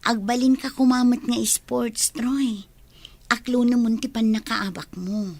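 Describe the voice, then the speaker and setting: A woman's voice: fairly high pitch, fairly even intonation, measured pace, a little mellow, no room sound. A woman acting a supportive young single friend in a Filipino radio drama, sympathetic and conversational, with a Filipino accent. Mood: sympathetic, consoling.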